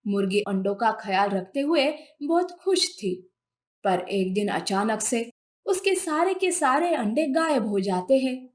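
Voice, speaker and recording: A woman's voice: fairly high pitch, animated, a little slow. A woman storyteller reading scripted narration for children's animal fables.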